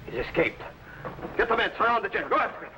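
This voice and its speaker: An actor playing a cruel, cowardly tyrant governor in an old-time radio adventure. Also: male voice, high voice, very animated, quick pace. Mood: commanding, grudging. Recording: noisy vintage radio serial recording.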